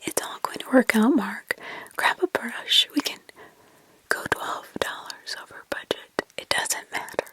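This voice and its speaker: A woman voicing a calm young wife who speaks softly and reassuringly in a whisper. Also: a little high, a little slow. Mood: soothing. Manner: soft, soothing, reassuring.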